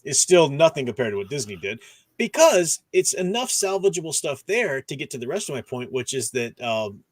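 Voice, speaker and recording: A man hosting a casual discussion stream, talking in a loose, rambling way. He restarts sentences and gropes for names as he goes. His voice is mid-pitched and the recording is dry and close. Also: sing-song, moderate pace, dry recording. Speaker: podcast host.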